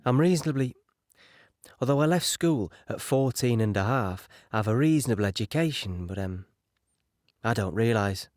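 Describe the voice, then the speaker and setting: An actor playing an earnest, naive young British soldier, plain-spoken and a little hesitant, in an audio drama monologue. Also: male voice, mid-range voice, lively intonation, moderate pace, a little mellow, no room sound, studio quality, close to an everyday voice. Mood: candid, unknowing. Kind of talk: dramatic monologue recounting wartime memories.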